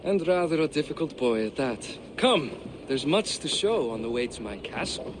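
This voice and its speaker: An actor playing a proud, hearty middle-aged Viking king who is quick to anger, in a video game. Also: a little high, expressive, background noise, natural voice. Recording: game cutscene with music.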